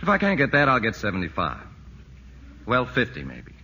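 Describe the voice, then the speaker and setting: Fussy, animated radio architect. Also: male voice, lowish voice, moderate pace, husky, lo-fi sound, full character voice. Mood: sheepish. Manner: explanatory, hemming, apologetic. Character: fussy, hesitant architect calmly reciting costly building problems. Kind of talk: 1940s radio comedy acting. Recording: echoey old radio broadcast.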